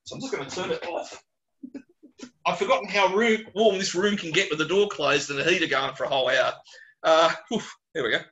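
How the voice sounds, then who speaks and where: An Australian man, a geologist answering questions informally on a webinar, speaking bluntly and colloquially with animated digressions. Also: a little high, a little fast, muffled recording. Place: small closed room at home or office, on a video call.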